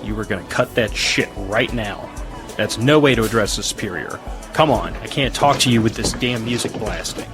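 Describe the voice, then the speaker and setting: An actor playing a stern, gruff army major who speaks in curt orders in an audio drama. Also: male voice, lowish voice, moderate pace, natural voice. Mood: scolding. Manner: barking orders, curt military speech. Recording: music behind the voice.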